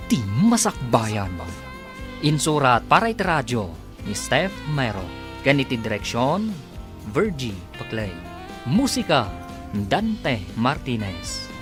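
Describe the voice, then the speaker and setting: A woman announcing a radio drama serial and its credits in a scripted style. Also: rumbling low.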